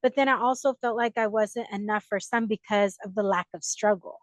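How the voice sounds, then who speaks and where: A woman's voice: mid-range voice, brisk, no room sound. A young woman hosting a podcast, chatting casually and animatedly, laughing often. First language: English. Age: young adult. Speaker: podcast host. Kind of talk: casual interview chat.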